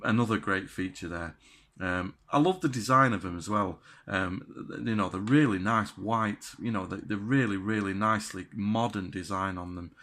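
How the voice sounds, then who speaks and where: A man giving a casual, unscripted product review in a halting but enthusiastic way. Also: lowish voice, a bit bright. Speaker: radio gear reviewer.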